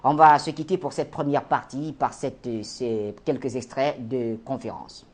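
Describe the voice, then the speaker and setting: A man's voice: medium pitch, a little slow, very noisy. A man presenting a current-affairs program in a formal manner, with some hesitant filler sounds. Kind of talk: studio presentation.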